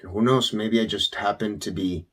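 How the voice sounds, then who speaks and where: A man playing a roguish, cocky video game stranger with a wry, husky delivery. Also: on the low side, steady tone, normal speed, a bit bright. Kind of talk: action horror game acting.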